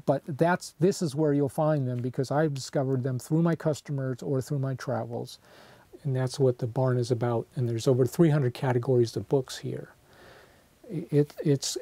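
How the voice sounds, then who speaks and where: A man in his sixties who owns a bookshop, speaking in a documentary interview on a lapel mic and pausing often with fillers. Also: medium pitch, dark tone, dry recording. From United States. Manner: hesitant, many uh fillers. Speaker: bookshop owner. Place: wooden barn bookshop, indoors.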